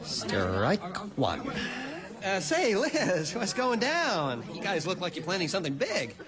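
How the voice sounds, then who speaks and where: A man's voice: sits high, wildly swooping pitch, slow pace, a crowd in the background, full character voice. An actor playing a wry, eager, put-upon young male superhero in a radio drama.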